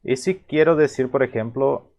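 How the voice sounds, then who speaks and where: A man from Paraguay with a Paraguayan Spanish accent and a Guarani mother tongue, teaching phrases in a relaxed, halting way with many repeats and restarts. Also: mid-range voice. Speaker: language teacher. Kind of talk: explaining vocabulary in a video lesson.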